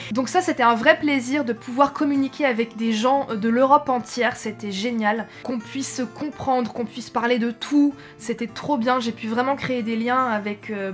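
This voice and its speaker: Chatty young French woman, slightly high. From France. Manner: chatty, self-correcting, frequent hesitations. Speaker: travel vlogger. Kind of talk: casual chat to camera.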